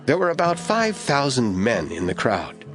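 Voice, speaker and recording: A man reading scripted Bible narration as an expressive storyteller for an audio drama. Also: medium pitch, normal speed, music in the background.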